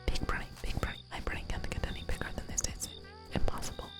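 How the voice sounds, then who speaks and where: Playful whispering woman streamer. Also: fairly quick. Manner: soft whispering, playful and giggly, rambling with many "like"s. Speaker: live streamer. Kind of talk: whispered chat with live viewers. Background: music playing.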